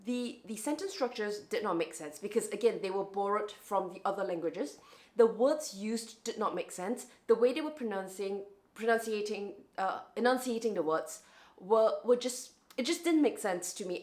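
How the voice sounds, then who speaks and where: Woman with a light Singaporean accent. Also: mid-range voice. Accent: lightly Singaporean English, internationally smoothed. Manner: chatty, with frequent restarts and self-corrections. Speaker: vlogger. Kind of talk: casual reflective monologue.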